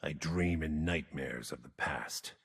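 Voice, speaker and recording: An actor playing a gruff, brooding wandering mage in a video game, world-weary and terse, with a raspy, gravelly voice. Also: male voice, rumbling low, takes it slowly, fairly bright tone, long reverb tail, heavily acted. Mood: weary, grim. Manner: terse, grim, theatrical delivery. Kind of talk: video game character voice lines.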